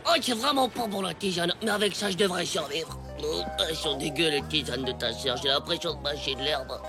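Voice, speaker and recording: An adult actor voicing an excitable, cheeky ten-year-old schoolboy in a French animated comedy, with dramatic, slangy exclamations. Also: medium pitch, brisk, music in the background, lightly acted. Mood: disgusted.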